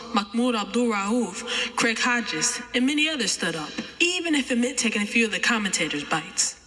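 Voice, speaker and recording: A twelve-year-old American boy performing spoken-word poetry with emphatic, deliberate oratory. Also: high voice, bright, forceful tone. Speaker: young poet reciting. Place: large chapel, live audience event. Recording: echoey large chapel, long reverb.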